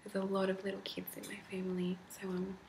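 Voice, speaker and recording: A woman in her twenties whispering a rambling ASMR chat, with a slightly deep whisper, recorded on a laptop in a candlelit room at home. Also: monotone, fast. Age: 20s. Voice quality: slightly deep whisper, not soft-spoken. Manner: rambling, chatty, laughs often. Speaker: ASMR creator. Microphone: laptop mic. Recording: grainy noisy laptop recording.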